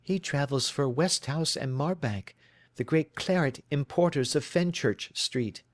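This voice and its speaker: A man's voice: unhurried, no room sound, studio quality, close to an everyday voice. A man voicing an earnest young woman who is anxious and devoted to her missing fiancé, in a raised, pleading voice. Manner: pleading, distressed, higher voice.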